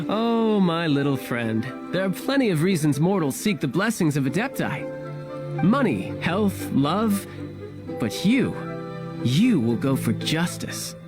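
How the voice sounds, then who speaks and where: A man playing a charming, sly young villainous diplomat in a video game, breezy, teasing and smoothly persuasive. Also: on the high side, very animated, slow pace. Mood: knowing, teasing. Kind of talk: video game character acting. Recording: music behind the voice. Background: game music.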